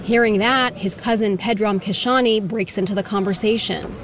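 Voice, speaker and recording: A woman's voice: mid-range voice, normal speed, a crowd in the background. Woman reading a radio news report. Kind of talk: scripted news narration.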